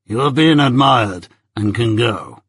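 Guy doing a bossy older lawyer in an audiobook, slow heavy emphasis, husky, dry sound. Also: medium pitch, expressive, a bit bright, no echo, exaggerated voice. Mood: curt, formal. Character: domineering, deliberate older male lawyer and guardian. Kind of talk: audiobook character voice.

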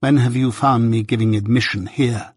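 A man's voice: medium pitch, fairly even intonation, husky, no room sound, clean studio sound, natural voice. A man as a cold, proud young woman. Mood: proud, insistent. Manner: composed, measured, unyielding. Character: proud, cold, self-possessed young adopted daughter.

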